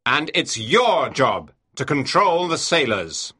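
A woman voicing a stern, angry male sea captain in an audiobook, husky and imperious as he barks orders. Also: a bass voice, sing-song, takes it slowly, bright, forceful tone, pitched below the performer's own voice, exaggerated voice. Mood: stern, commanding. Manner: barking, imperious. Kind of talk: audiobook character dialogue.

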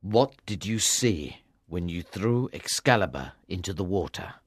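A woman's voice: extremely low pitch, lively intonation, measured pace, no echo, studio quality, voice pushed down, full character voice. A woman voicing a wounded, dying king in a storybook, weary but commanding, with weak, labored speech. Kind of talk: storybook character voice.

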